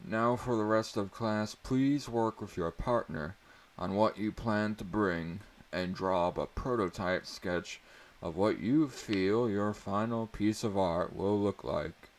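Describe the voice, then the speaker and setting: A man's voice: lowish voice, takes it slowly, voice pushed up. Man putting on a bossy, sardonic woman teacher. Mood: brisk, commanding. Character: irritated, sardonic woman schoolteacher, theatrical and bossy. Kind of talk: dramatic reading of a story.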